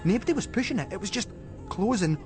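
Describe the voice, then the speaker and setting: A Scottish man narrating a ghost story in Scots dialect, with an expressive, suspenseful storytelling delivery. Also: high voice, quick pace, music in the background. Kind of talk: dramatic scripted narration of a ghost story for schools radio.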